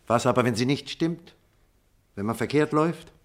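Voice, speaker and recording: A man's voice: medium pitch, moderate pace, lightly acted. An actor playing a melancholy, wry writer in a drama, laconic and dry, reflective before his old teacher. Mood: bitter, reflective. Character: melancholy, wry male writer, former pupil of the schoolmaster. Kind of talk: theatrical radio play dialogue.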